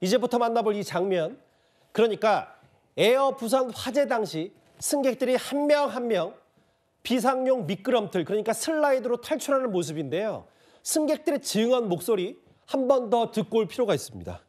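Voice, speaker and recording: A male news anchor with a distinctly high-pitched voice. He leads a studio discussion in a conversational way, asking probing questions and setting up reports. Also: sing-song, normal speed, a little mellow.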